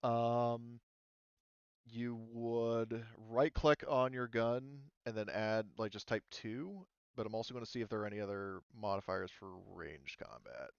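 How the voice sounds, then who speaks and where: A man with a smooth, clear voice acts as game master, talking players through rules in a casual way. He often thinks aloud as he checks things. The sound is dry, close and a little dull. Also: mid-range voice, a little slow, no echo. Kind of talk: running a tabletop roleplaying game, casual explanation.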